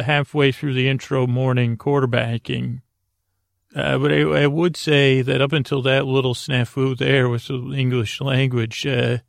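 A man hosting a bedtime podcast in a creaky, lulling voice, rambling haltingly and trailing off into long-winded tangents. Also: medium pitch, no echo, clean studio sound. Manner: lulling, soothing delivery. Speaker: podcast host.

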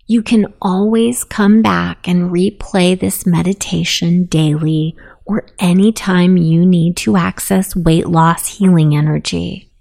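A woman leading a guided meditation in a soothing, hushed manner with long pauses. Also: fairly low pitch, steady tone, creaky. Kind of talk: guided meditation, self-hypnosis narration.

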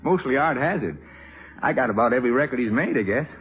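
An actor playing an earnest, headstrong young jazz trumpeter in a 1950s radio drama, boyish and stammering when flustered. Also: male voice, mid-range voice, expressive, fast, close to an everyday voice. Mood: eager, nervous. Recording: tinny old radio broadcast, faint music.

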